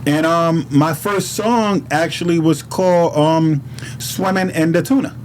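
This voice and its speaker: Higher-voiced New York man telling stories. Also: unhurried, a little hiss or hum. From New York City (Harlem). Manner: animated street slang, profane, quotes dialogue. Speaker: podcast guest, rapper. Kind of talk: casual storytelling in conversation.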